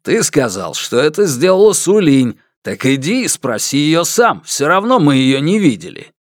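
A man's voice: on the high side, big pitch swings, normal speed, no room sound, studio quality. A man voicing a callous, evasive family man who answers accusations indignantly in an audiobook. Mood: dismissive. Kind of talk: audiobook character acting.

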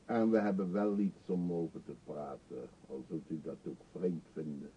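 An actor playing an eccentric, talkative older beggar in a radio drama, pushy and wry, confiding theatrically. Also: male voice, low voice, steady tone, moderate pace, a little hiss or hum, natural voice. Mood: chatty, insistent. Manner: chatty, insistent, theatrically confiding. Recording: dull, muffled old radio play recording.

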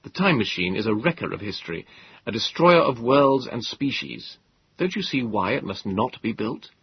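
A man's voice: mid-range voice, lively intonation, moderate pace, breathy edge, lo-fi sound. A man voicing a weary, earnest male time traveller who speaks with urgent insistence.

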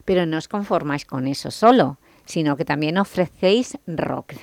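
A woman hosting a radio interview, asking brisk, inquisitive questions. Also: low-pitched, lively intonation, a little mellow, no echo. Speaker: radio host.

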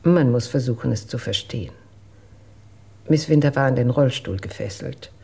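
Husky, low-toned woman giving careful testimony. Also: animated, warm tone, full character voice. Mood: reserved. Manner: measured, careful recounting. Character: reserved, dutiful female household employee giving testimony. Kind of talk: German radio drama acting.

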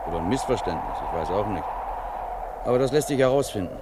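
A man's voice: fairly low pitch, expressive, quick pace, heavy background noise, natural voice. An actor playing an agitated village man in a German radio crime play. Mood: puzzled, concerned. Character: agitated village man named Dick bringing word.

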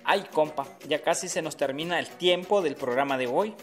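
A man acts out an indignant Honduran community activist in a radio drama. His voice sits a little high, with colloquial, scripted dialogue. Music plays softly behind him. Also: moderate pace, close to an everyday voice.

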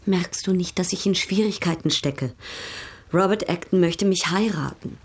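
An actress playing a proud, worldly, coquettish baroness in a radio drama, speaking in a cultivated and slightly affected way. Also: female voice, lowish voice, moderate pace, slightly rough. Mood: torn, capricious.

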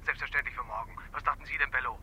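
An actor playing a brusque, impatient newspaper boss over a telephone filter in a radio drama. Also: male voice, medium pitch, steady tone, racing, sharp tone. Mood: impatient, demanding. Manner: curt, impatient. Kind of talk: radio drama phone dialogue. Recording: dull, faintly noisy phone line.

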